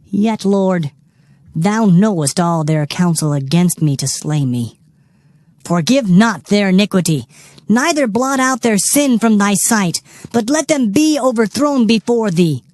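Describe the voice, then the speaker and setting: A man's voice: high voice, animated, measured pace, darkish tone, no echo, clean studio sound. A man playing a stern, anguished Hebrew prophet pronouncing doom in a solemn, declamatory dramatized scripture reading. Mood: anguished pleading. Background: background music.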